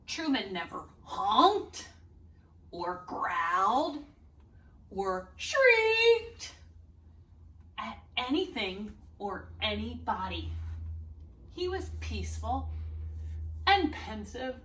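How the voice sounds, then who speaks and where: A woman reading a children's storybook aloud as a read-aloud host, expressive and deliberate, with dramatic pauses and emphasis. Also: a little high, slight background noise. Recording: roomy echo, distant microphone.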